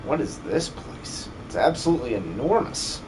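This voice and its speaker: A man's voice: medium pitch, big pitch swings, normal speed, music in the background, close to an everyday voice, rough recording. A man voicing a bold, eager young adventurer with a confident, slightly haughty air in a video game fan dub. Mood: awed, excited.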